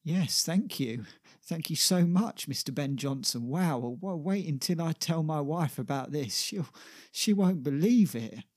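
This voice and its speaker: A man's voice: medium pitch, expressive, takes it slowly, warm tone, dry recording, clean studio sound. A man voicing a big shipyard worker, blunt in manner and effusive as a star-struck fan. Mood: gushing.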